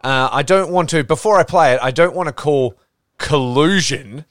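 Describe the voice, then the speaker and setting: A man's voice: on the high side, moderate pace, dry recording. Aussie guy hosting a podcast, ribbing his mate, dry close sound. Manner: joking, teasing, swears casually. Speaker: podcast host. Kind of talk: casual banter. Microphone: video call.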